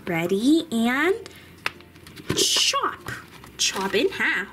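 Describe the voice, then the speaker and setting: A woman voicing an excitable, bossy toddler girl doll in chatty, exclaiming doll play for children. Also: a little high, drawn-out words, background noise, heavily acted. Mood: excited, curious.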